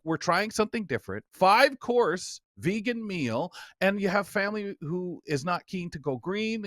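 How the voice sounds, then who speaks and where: A man hosts a radio magazine show in a high voice that moves around a lot. His storytelling is theatrical, and the sound is close and dry. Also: a bit bright, dry recording. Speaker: talk show host.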